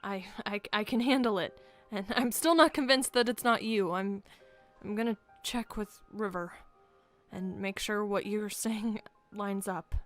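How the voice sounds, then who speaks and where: Woman playing flustered young camp leader, hesitant, stammering, guarded, mid-pitched, improvised game drama, background music. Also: unhurried, natural voice. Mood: guarded, dismissive. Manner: hesitant, stammering, nervous little laughs. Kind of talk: improvised Minecraft roleplay acting. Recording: music behind the voice.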